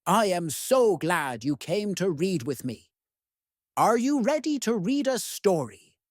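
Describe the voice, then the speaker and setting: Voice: mid-range voice, very animated, slow pace, dry recording, studio quality. A storyteller reading a children's picture book aloud expressively, addressing young listeners. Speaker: read-aloud host.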